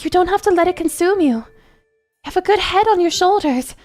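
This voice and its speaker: High-voiced, anxious, coaxing mother, acted by a woman. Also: animated, brisk, a little mellow, soft background music. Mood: worried, coaxing. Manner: fussing, pleading, insistent. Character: anxious, coaxing mother nagging her troubled eldest son. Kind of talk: dramatic character acting.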